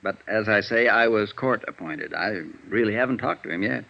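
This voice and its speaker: An American actor playing a tired, amiable middle-aged lawyer with a rambling, folksy manner in a vintage radio drama. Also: male voice, fairly low pitch, expressive, normal speed, creaky. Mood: easygoing, musing. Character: tired, seedy, affable male lawyer about forty. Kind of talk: 1950s radio acting. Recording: muffled vintage radio recording.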